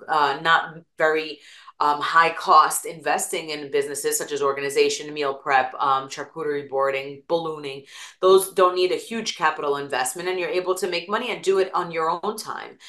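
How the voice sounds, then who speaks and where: A woman's voice: low-pitched, steady tone, moderate pace, sharp tone, reverberant. A woman with an East Coast American accent, a guest on an interview show, talking casually and telling stories with lots of examples. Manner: chatty, storytelling with examples. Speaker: guest, attorney and real estate broker.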